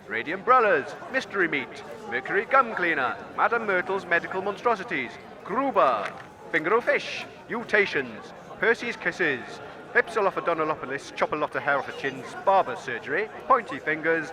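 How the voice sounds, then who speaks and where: A man playing a showy announcer in a radio comedy, addressing the public at a sporting event. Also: high-pitched. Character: showy male announcer at wasteland games. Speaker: games announcer. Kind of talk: comic public announcement, reading sponsor list.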